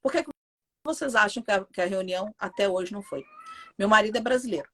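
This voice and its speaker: A woman's voice: medium pitch, animated, fast talker, fairly bright tone. A Brazilian woman with a Brazilian Portuguese accent, a mother telling an interviewer her story in a casual, emphatic, indignant way.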